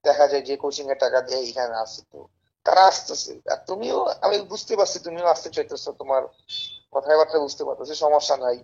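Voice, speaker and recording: A Bangladeshi man making an informal phone sales pitch in a pushy, persuasive, repetitive way. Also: fast, creaky, darkish tone, breathy edge, lo-fi sound. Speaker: caller pitching a service as a tout.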